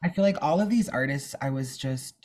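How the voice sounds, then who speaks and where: A nineteen-year-old man from Minnesota, a singer guest, talking in a bubbly, animated way over a remote link. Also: medium pitch, a little fast. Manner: animated, bubbly, breathy with laughs. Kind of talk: casual interview. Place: remote call, not in studio.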